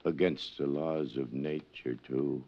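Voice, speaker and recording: An actor playing a vague, pious, stubborn newspaper seller from the docks in a 1950s radio drama, rambling and evasive, with a gravelly voice. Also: male voice, rumbling low, fairly even intonation, heavily acted. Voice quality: gravelly creaky voice. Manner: rambling, evasive, slow to answer. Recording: dull old radio recording.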